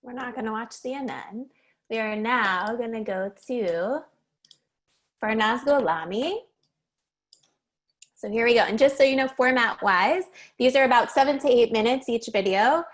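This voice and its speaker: A woman's voice: on the high side, moderate pace, pauses often. A woman hosting a casual live event, interviewing in a friendly, welcoming manner. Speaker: host, interviewer.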